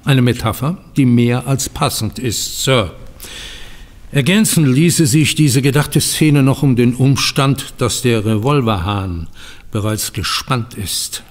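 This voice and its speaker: A polite, troubled banker played by a male actor in a radio drama. His voice sits at a medium pitch and his wording is formal and ornate. The room rings with a long reverb. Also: slow pace, lightly acted. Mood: rueful. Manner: formal, flowery, courteous. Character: polite, anxious male banker in financial ruin. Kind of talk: German radio play acting.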